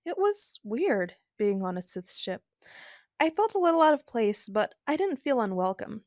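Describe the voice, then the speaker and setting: A woman's voice: a little high, big pitch swings, moderate pace, a little mellow, no echo, clean studio sound. A woman playing a frank, feisty, worried female adventurer in an audio drama, speaking candidly and with emphasis. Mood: reflective. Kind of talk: first-person narration and dialogue in an audio drama.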